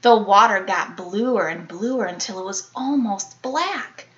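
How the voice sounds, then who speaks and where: A woman reading a children's picture book aloud like an elementary teacher, animated, with dramatic emphasis and playful sound-effect words. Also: medium pitch, takes it slowly, muffled recording, background noise.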